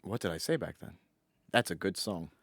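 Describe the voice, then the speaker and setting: A man's voice: sits low, lively intonation, fairly quick, breathy edge, dry recording, studio quality. A man in his twenties co-hosting a podcast in a blunt, informal, slangy way. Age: 20s. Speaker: podcast co-host. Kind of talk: casual podcast chat.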